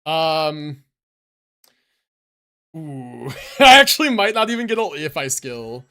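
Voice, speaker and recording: A man's voice: on the high side, very animated, takes it slowly, bright, forceful tone. A man livestreaming a game, commenting casually and answering chat, slangy and sweary as he thinks aloud.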